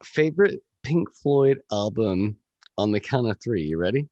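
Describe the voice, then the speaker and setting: A man with a gravelly voice, a musician chatting casually as a podcast guest, rambling with lots of fillers and swearing. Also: fairly low pitch, expressive, moderate pace, warm tone, dry recording, lo-fi sound.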